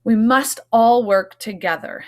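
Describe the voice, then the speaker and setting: A woman's voice: on the high side, a little slow, a little mellow, pitched above the performer's own voice, lightly acted. A woman voicing a hungry, bold little female mouse in an emphatic, exclaiming storybook manner. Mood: firm, exasperated.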